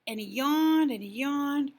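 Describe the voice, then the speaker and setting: An older woman reading a children's picture book expressively, gentle and drawn-out, hushed in places. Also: high-pitched. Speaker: storyteller.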